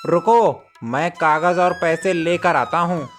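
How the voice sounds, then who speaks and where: A man's voice: a little high, takes it slowly, pitched above the performer's own voice. A man voicing a greedy village headman in a cartoon moral story, calculating and condescending as he lends money. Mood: cold, calculating. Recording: music bed under the voice.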